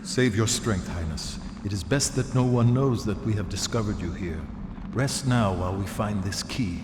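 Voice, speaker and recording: An actor playing a courteous, wry companion in a fantasy video game, speaking politely and articulately. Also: male voice, lowish voice, moderate pace, close to an everyday voice. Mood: earnest, respectful. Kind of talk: video game cutscene dialogue. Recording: noisy game audio.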